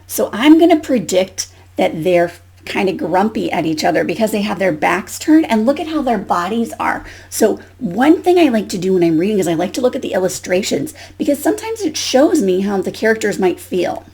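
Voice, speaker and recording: A woman's voice: mid-range voice, big pitch swings, brisk, a little mellow. A woman giving an instructional demonstration as a teacher educator, explaining and modelling questions as if to young pupils.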